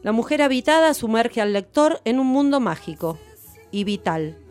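A woman, hosting a radio show and chatting in a relaxed way about a novel. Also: fairly high pitch, moderate pace, soft background music. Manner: relaxed, with fillers, speaking warmly of a novel. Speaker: radio host. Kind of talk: casual radio chat about books.

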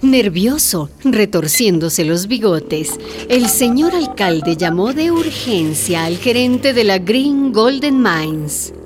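An actress as the storyteller narrating a scripted radio drama. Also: female voice, medium pitch, animated, slow pace, music in the background. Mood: measured storytelling. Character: storyteller narrator of a village tale.

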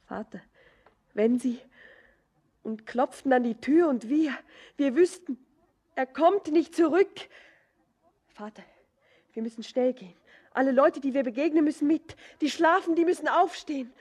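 An actress playing an anxious, lovesick young daughter in a theatrical German radio drama, breathy and often whispering, with fervent, halting, imploring delivery. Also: female voice, high voice, a little mellow. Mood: rising panic.

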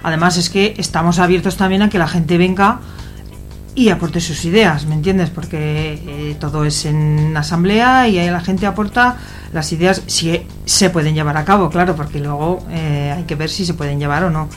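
A woman with an accent from Spain speaking as an activist guest in an informal radio interview, haltingly searching for words. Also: on the low side, faint music behind. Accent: Spanish from Spain.

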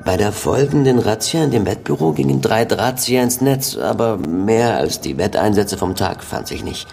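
Cop colleague reading out a case report in a radio play, creaky, animated, faint noise behind. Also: male voice, fairly low pitch, moderate pace. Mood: factual, speculating. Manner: clipped, official, report-like. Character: matter-of-fact male police colleague briefing a detective. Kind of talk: radio drama dialogue.

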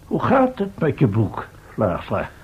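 A man's voice: mid-range voice, wildly swooping pitch, a little slow, husky, highs cut off, heavily acted. A man acting an exasperated, schoolmasterly professor who leads an expedition in an old radio drama. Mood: stern, exasperated. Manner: indignant, schoolmasterly. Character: exasperated male professor leading a time-travel expedition.

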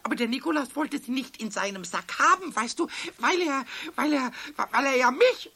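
A man voicing a cheeky, excitable little kobold in a children's radio play, squeaky and childlike, stammering out repetitions and rhymes. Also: moderate pace, full character voice. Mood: anxious pleading. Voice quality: squeaky, creaky.

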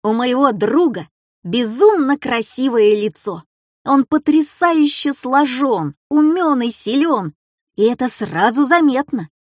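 A woman's voice: high-pitched, lively intonation, a little slow, no echo, studio quality, pitched above the performer's own voice. A woman voicing an exuberant, teasing schoolboy in a children's story, proclaiming his words boastfully. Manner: proclaiming, exclamatory.

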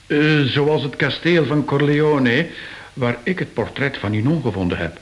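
An actor plays an earnest art curator with a mystical streak in a radio drama. He speaks very slowly and solemnly, in a medium-pitched man's voice, reflective and spellbound. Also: natural voice. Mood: fervent, reflective. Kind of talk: Dutch-language radio play acting.

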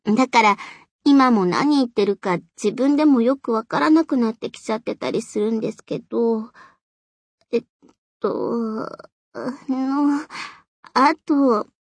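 Shy, breathy schoolgirl game character. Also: on the high side, a little mellow, dry recording. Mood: flustered, pouting. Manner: hesitant, stammering, modest, polite. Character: shy, earnest, flustered high school girl student council vice president. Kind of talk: Japanese game character voice acting.

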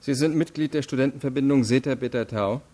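An actor playing an unyielding deputy prosecutor in a radio crime drama, laying out facts in a firm, deliberate way. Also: male voice, mid-range voice, warm tone, natural voice. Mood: firm, methodical. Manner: matter-of-fact, deliberate, firm. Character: methodical, unyielding male deputy prosecutor interrogating.

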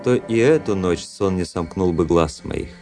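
Jaded proud officer in a radio play, lowish soft-edged voice, formal and reflective. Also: male voice, unhurried, soft background music. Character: proud, cold, world-weary young officer, narrating his story. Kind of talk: radio play acting, literary narration.

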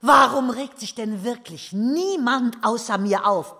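Outraged young idealist, radio drama, high voice, slow rhetorical delivery, theatrical, impassioned. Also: female voice, pushed and bright. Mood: bitter indignation. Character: outraged young journalist woman, passionate idealist pleading to a courtroom. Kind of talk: German radio play monologue. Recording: reverberant hall, music underneath. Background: music.

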